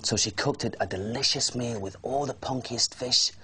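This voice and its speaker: A man voicing an excitable, curious young dragon who tells his adventure in a children's storybook, wide-eyed and eager. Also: mid-range voice, moderate pace. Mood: amazed.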